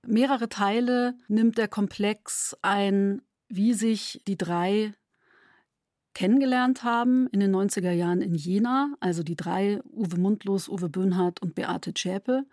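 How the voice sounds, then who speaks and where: A woman giving a measured, explanatory interview statement as a dramaturg. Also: mid-range voice, a little mellow, dry recording, clean studio sound.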